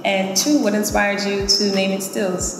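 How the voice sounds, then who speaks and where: A woman's voice: mid-range voice, flat delivery, moderate pace, a little hiss or hum. Woman host, upbeat and chatty, recorded in a very echoey room. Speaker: interview host. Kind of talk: casual interview. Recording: echoey room with long reverb.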